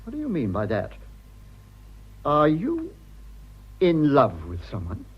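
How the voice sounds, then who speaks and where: An actor playing a prim, petulant middle-aged husband in a period radio drama, with an upper-class British accent and a fussy, spluttering, condescending delivery. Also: male voice, fairly high pitch, huge pitch swings, takes it slowly, breathy edge, dull audio, exaggerated voice. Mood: indignant.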